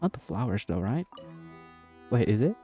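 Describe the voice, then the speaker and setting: A man's voice: fairly low pitch, big pitch swings, normal speed, dark tone. A man giving casual, reactive commentary while playing through a game, often in short murmured remarks. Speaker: gamer recording a playthrough. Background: game music.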